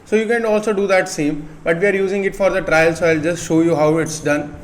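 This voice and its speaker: A man with an Indian accent presenting a step-by-step software tutorial. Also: high voice, fairly even intonation, brisk.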